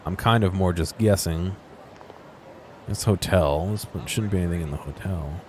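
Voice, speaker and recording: A man giving casual, off-the-cuff commentary as he explores a video game, low-key and musing to himself. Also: normal speed, darkish tone, a little hiss or hum. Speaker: gaming video host.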